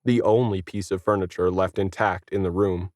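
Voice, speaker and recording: A man's voice: low-pitched, fairly even intonation, moderate pace, a little mellow, no room sound, studio quality. A man reading scripted fiction as a story narrator.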